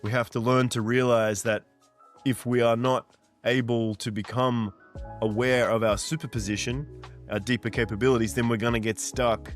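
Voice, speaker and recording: A man's voice: medium pitch, flat delivery, moderate pace, soft background music. A man in his forties talking earnestly and directly to the listener as a mindset coach, pressing points through repetition. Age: 40s. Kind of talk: motivational monologue.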